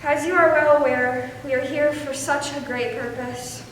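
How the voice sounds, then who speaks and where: Lady as earnest cold civil war soldier writing home, high voice, far off in echoey hall. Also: fairly even intonation, normal speed, natural voice. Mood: resolute. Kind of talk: readers theater letter monologue. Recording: very echoey hall, distant, faint noise.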